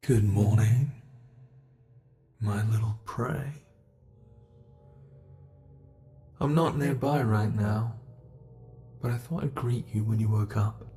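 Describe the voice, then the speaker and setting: A British man voicing an ancient male monster in an ASMR horror roleplay, speaking with soft, mockingly polite menace. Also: lowish voice, faint music behind. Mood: teasing. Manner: slow, soft-spoken, teasing menace.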